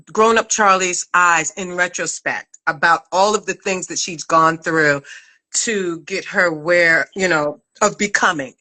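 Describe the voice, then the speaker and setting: A woman's voice: on the low side, pushed and bright, low-quality recording. A middle-aged woman hosting a casual live chat in an effusive, affectionate manner. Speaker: podcast writer-director hosting a live chat.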